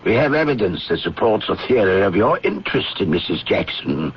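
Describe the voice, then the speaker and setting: An actor playing a stern, officious male police inspector with formal, measured speech in a 1970s radio drama. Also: on the low side, very animated, a little hiss or hum. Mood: stern, official. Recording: muffled old radio recording.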